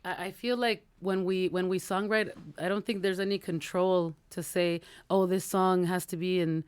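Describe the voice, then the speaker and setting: A woman's voice: lowish voice, steady tone, normal speed, darkish tone, clean tone. A woman from Los Angeles, a band singer, chatting casually in an interview and often checking for agreement. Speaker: lead singer being interviewed. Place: radio studio.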